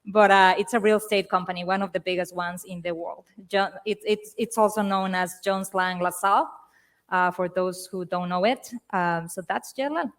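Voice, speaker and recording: A woman's voice: on the low side, fairly even intonation, moderate pace. A woman from Mexico with a Mexican accent, speaking as a panelist on a conference stage into a handheld mic, chatty and self-deprecating. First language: Spanish.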